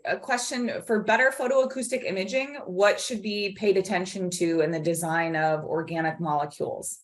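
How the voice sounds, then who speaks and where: A woman's voice: fairly low pitch, steady tone, normal speed. A woman moderating an online webinar, relaying questions from the audience over a video call.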